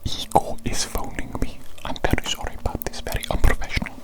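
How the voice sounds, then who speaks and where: A woman's voice: fast, natural voice. A woman whispering as a haughty evil doctor. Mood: exasperated. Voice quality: whispering throughout. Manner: haughty, self-important, easily exasperated. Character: pompous, condescending female evil doctor. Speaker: ASMR roleplay performer. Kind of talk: whispered ASMR roleplay.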